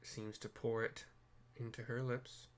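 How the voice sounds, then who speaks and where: A man's voice: medium pitch, flat delivery, measured pace. Rambling man narrating a game playthrough. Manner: rambling, self-deprecating, hesitant word-searching. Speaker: host of a game playthrough video. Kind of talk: casual commentary with improvised scene descriptions.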